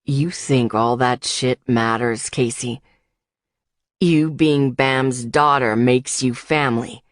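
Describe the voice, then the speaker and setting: A gruff biker man, acted by a woman speaks low and slow in an audiobook. He is calm, blunt and protective, keeping his words steady in a dry, close recording. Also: no echo. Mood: firm, calm. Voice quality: low, put-on male voice. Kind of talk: audiobook character dialogue.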